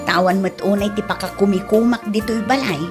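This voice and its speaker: A woman acting a chatty rural Filipino wife and mother in a comedy drama, with an Ilocano accent and an expressive, drawn-out colloquial way of talking. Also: mid-range voice, over loud music, close to an everyday voice. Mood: concerned, coaxing.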